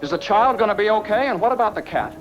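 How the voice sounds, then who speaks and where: A man's voice: high-pitched, expressive, quick pace, background noise. An actor playing an alarmed, cautious radio operator in an audio drama, urgent and earnest as he warns others. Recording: dull radio sound, music and rain.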